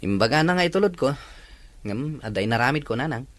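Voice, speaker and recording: A man's voice: mid-range voice, expressive, fairly quick, a bit bright, lightly acted. A man playing a troubled, defensive young son in an audio drama. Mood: defensive, downcast. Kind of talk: acted audio drama dialogue.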